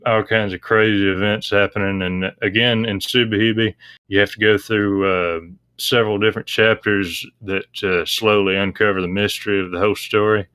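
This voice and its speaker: A man's voice: on the low side, a bit bright, smooth, dry recording. A man chatting casually as an interview guest, explaining things in a hesitant, rambling way. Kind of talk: casual interview chat about visual novels.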